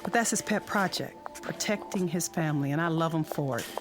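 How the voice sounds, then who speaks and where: Actress as breezy suburban wife, music behind. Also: female voice, deep voice, a little fast, lightly acted. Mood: busy, affectionate. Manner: breezy, colloquial. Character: chatty, easygoing suburban wife and mother. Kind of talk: modern radio drama acting.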